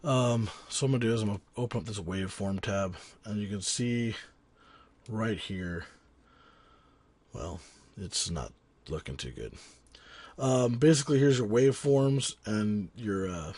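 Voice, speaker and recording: Raspy-voiced man with a cold. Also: on the low side, lively intonation, measured pace, pauses often, a little mellow. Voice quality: husky. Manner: rambling, lots of ums and restarts, offhand. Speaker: sound engineer teaching a tutorial. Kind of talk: casual screen-recorded software tutorial.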